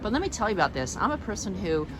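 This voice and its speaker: A woman's voice: mid-range voice, big pitch swings, very fast. A woman interviewing a farmer at a farmers market, talking with enthusiasm and telling stories. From San Diego, California. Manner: enthusiastic, storytelling. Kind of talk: on-location interview. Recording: outdoor street noise, traffic.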